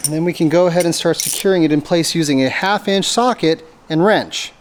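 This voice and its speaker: A man's voice: a little high, a little fast. A man presenting a methodical, step-by-step hands-on installation tutorial for a product he made. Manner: methodical, explanatory. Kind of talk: step-by-step hands-on how-to demonstration.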